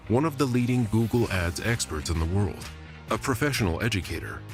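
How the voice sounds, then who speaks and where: Deep voiced announcer dude reading a bio intro, soft guitar music behind. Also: male voice. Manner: formal, listing credentials. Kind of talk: scripted promo narration. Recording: voice-over with soft music bed.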